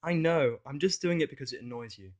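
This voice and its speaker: A man's voice: mid-range voice, animated, fast talker, voice pushed up, lightly acted. A man voicing a playful, cheeky young female pony in a fan fiction audio story, chatty and teasing.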